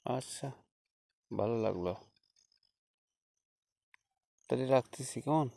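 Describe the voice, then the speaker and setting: A man's voice: mid-range voice, gravelly, warm tone, no echo, natural voice. A man with a Bangladeshi accent playing a polite, hesitant former schoolteacher in a conversational phone-call audio story. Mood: polite, musing. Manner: hesitant, halting, with frequent small acknowledgements.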